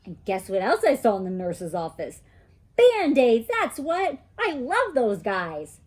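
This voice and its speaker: A woman reading a children's storybook as an excitable, mischievous little girl who chats emphatically about her own adventures. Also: a little high, a little slow, exaggerated voice. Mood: excited. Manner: childlike, emphatic, chatty storytelling with kid grammar. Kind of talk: children's storybook read-aloud.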